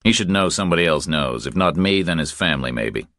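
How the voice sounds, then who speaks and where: A man voicing a steady, protective tough man, calm, firm and terse, in an audiobook thriller. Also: extremely low pitch, fast talker, no room sound, studio quality. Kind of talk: audiobook character dialogue.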